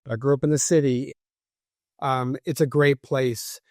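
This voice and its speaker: A man's voice: medium pitch, fairly even intonation, moderate pace, a little mellow, no room sound. Reflective middle-aged man, dry sound. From Cambridge, Massachusetts. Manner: reflective, tags points with "right?". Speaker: guest, former FBI agent and CEO. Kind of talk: conversational podcast interview.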